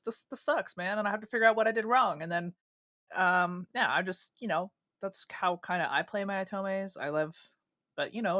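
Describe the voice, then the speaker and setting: Animated, teasing male podcast co-host. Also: high voice, dry recording, studio quality. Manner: teasing, crude, animated, laughs a lot. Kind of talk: casual chat.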